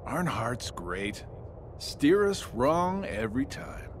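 Drawling, swooping, smug villain. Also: male voice, a little high, sing-song, takes it slowly, gravelly, a little hiss or hum, exaggerated voice. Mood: mocking. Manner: drawling, mocking, slangy. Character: smug, taunting male villain, sly and flippant. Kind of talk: animated video game villain acting.

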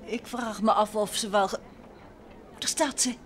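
An actress playing a detective's polite, curious wife in an old Dutch radio play. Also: female voice, medium pitch, steady tone, measured pace, natural voice. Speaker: radio drama actor. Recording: clearly noisy vintage radio recording.

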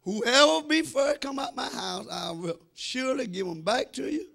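A man preaches in a very high, piercingly bright voice with gravel in it. He is emphatic and repeats his phrases, swinging between loud declarations and quieter asides as he calls on the church to respond. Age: middle-aged. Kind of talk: animated sermon with call and response. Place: church sanctuary, speaking from the front. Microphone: handheld microphone.

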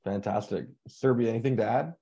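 A man's voice: lowish voice, a little fast, creaky, mellow. A young man chairing a council, moderating a live video call panel in an upbeat, encouraging way. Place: home room. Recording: slightly muffled video call audio.

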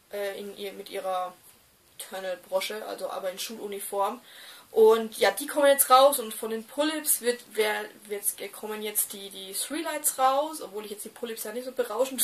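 A woman's voice: medium pitch, far from the mic. A woman in her mid twenties, vlogging casually to camera from a small room, hesitant with many fillers and restarts. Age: 20s. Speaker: doll collector vlogger.

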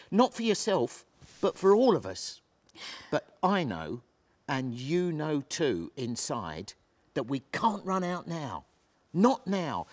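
Man acting stubborn, higher-voiced pub landlord. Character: stubborn, steadfast pub landlord, husband under strain. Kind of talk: dramatic script reading on stage. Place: theatre stage, seated reading.

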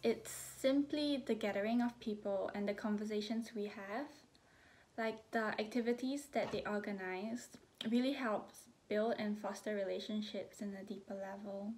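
A woman's voice: medium pitch, fairly even intonation, normal speed, a little mellow. Articulate woman. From Singapore. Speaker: student. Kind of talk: casual testimonial.

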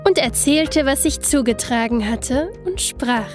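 A woman's voice: high-pitched, lively intonation, a little slow. A woman narrating a scripted fairy tale as a storyteller. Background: soft instrumental music.

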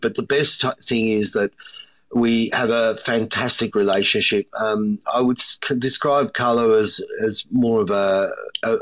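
A middle-aged man from Australia, a restaurateur answering interview questions thoughtfully, with many pauses and restarts. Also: lowish voice, a bit bright. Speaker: restaurateur and chef, guest.

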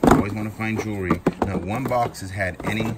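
A man's voice: fairly low pitch, steady tone, quick pace. A man chatting casually to viewers while unboxing shoes outdoors, filming first-person. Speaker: storage auction vlogger. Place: outdoors by a cardboard box. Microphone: handheld camera, first-person view. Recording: loud outdoor background noise.

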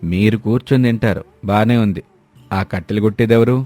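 A man's voice: on the low side, brisk, dark tone, faint music behind, close to an everyday voice. A man playing a hardworking, grumbling village husband in a moral story cartoon. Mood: exasperated. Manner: grumbling, complaining.

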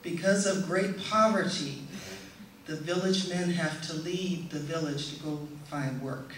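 A middle-aged woman giving a prepared testimonial speech to an audience in a measured, deliberate way. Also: low-pitched. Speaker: speaker giving a presentation. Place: indoor stage in a hall, before an audience. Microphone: stand microphone, heard from a distant camera. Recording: echoey hall, distant camera, faint noise.

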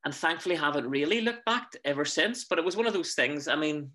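Bright-voiced Northern Irish man chatting. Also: on the high side, lively intonation, a little fast. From Northern Ireland. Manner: chatty, rambling storyteller with many fillers. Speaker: guest, stage actor and singer. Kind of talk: casual conversational interview. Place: small room at home, indoors. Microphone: webcam on a video call.

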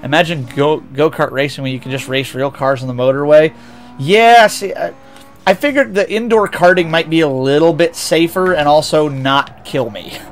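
A man's voice: on the high side, moderate pace, a little hiss or hum. A young man with a Midwestern American accent, chatting with viewers while streaming a racing game, rambling and correcting himself as he goes. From United States. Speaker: VTuber streamer.

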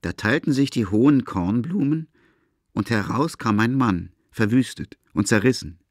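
A man's voice: medium pitch, lively intonation, normal speed, no room sound, studio quality. A man narrating a literary story for a German audio drama as scripted prose.

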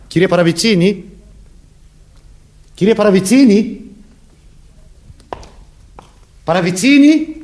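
An actor playing an authoritative police sergeant in a Greek radio play, speaking formally and deliberately while questioning suspects. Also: male voice, high voice, lively intonation, darkish tone. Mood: urgent. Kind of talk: Greek radio theatre acting.

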